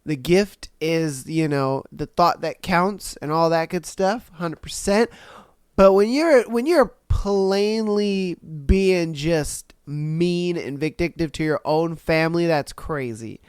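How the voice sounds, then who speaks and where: A man hosts his podcast, his voice slightly nasal as he recovers from an illness. He sits on the high side and stays smooth and clear, recorded close and dry with no room sound. He reacts in a loose, slangy, often profane way. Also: slow, darkish tone, no echo. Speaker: podcast host. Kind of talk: casual commentary on read-out posts.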